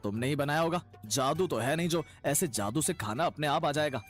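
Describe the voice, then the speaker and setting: An actor playing a kind-hearted, troubled young farmer husband in a cartoon story, earnest and plaintive. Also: male voice, on the high side, animated, a little fast, soft background music, natural voice. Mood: calm. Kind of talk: Hindi cartoon story acting.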